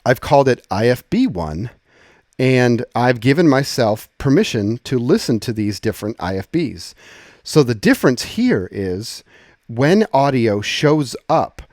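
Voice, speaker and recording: A man giving an informal, conversational software tutorial, explaining step by step and often rephrasing as he goes. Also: medium pitch, sing-song, a little slow, no echo, studio quality. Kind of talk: casual screen-share tutorial, explanatory.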